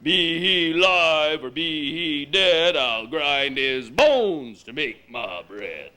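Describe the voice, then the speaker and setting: A man voicing a huge bearded giant in a storybook tale, chanting loudly and slowly with menace. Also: animated, full character voice. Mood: menacing. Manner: booming, chanting.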